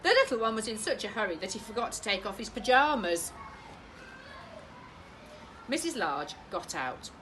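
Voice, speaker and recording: Bright, animated British woman reading a story. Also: medium pitch, normal speed, long pauses, a little hiss or hum. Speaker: primary school teacher.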